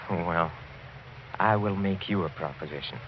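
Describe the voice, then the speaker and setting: An actor playing a sly, chuckling older European count with a theatrical, suavely sinister manner in 1940s radio drama. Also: male voice, low voice, noisy background. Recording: muffled old radio recording, music behind.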